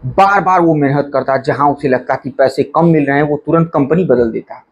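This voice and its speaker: A man's voice: a little high, moderate pace, lo-fi sound. A man narrating a true crime story in an earnest, emphatic storytelling manner, speaking to listeners as friends.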